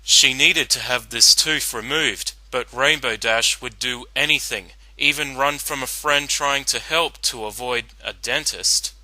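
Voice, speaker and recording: A man reads a story aloud as narrator in a dramatic style. His voice sits at a medium pitch with a very bright, forceful edge, recorded dry and close. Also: a little slow, few pauses, no room sound, low-quality recording.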